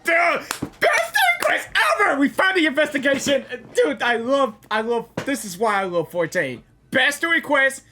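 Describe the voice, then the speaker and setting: A woman giving casual, excited reaction commentary on a game, slangy, exclamatory and repetitive. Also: high voice, normal speed, few pauses, soft background music. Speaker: gaming reaction host.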